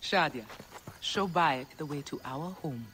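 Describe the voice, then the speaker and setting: A woman's voice: deep voice, lively intonation, measured pace, a bit bright, a little hiss or hum. Composed, assured Egyptian mother. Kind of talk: dramatic game cutscene acting.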